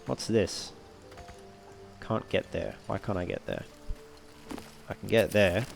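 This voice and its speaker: A man with a low voice and a creaky, fry-edged delivery commentates on a game. He talks casually, as a let's play host does, over faint background music. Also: sing-song, slow. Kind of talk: casual gameplay commentary, reading item text aloud.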